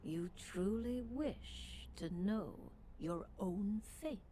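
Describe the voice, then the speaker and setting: A woman's voice: medium pitch, lively intonation, extremely slow, warm tone, a little hiss or hum. A woman playing a haughty, cranky witch seer in a fantasy video game, speaking in a grand, formal, theatrical manner. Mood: grand, mysterious. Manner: imperious, theatrical, old-fashioned formal phrasing.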